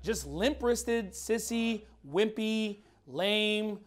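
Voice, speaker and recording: A man's voice: high voice, very slow. A man in his thirties preaching a fiery, blunt sermon in a church while losing his voice. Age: 30s. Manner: emphatic, blunt, repeats words. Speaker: pastor.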